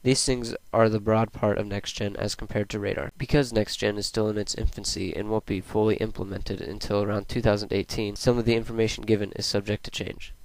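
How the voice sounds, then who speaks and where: Even-toned young man reading a presentation. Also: on the low side, a little fast, few pauses, no echo, poor audio. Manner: plain, read-aloud delivery. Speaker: student presenter. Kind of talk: scripted narration of a school presentation.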